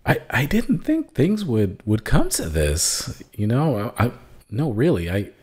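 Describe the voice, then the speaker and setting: Husky guy doing voice acting practice at home, slow and drawn out, pitch all over the place. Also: huge pitch swings, darkish tone. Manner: stammering restarts, swinging pitch between takes. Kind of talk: reading practice lines in different emotions. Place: home room. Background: dogs, keyboard clicks, chat pings.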